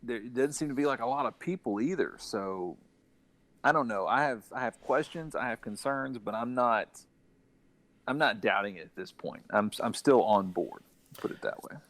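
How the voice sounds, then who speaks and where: A man's voice: mid-range voice, moderate pace, fairly bright tone, no room sound. A man co-hosting a casual gaming discussion, sharing opinions as he thinks them through aloud. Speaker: gaming podcast co-host.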